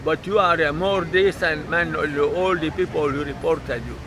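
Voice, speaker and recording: A man's voice: on the high side, moderate pace. Older man on a noisy street. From Hungary. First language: German. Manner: broken English, repetitive, emphatic. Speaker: interviewee. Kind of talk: casual recorded conversation.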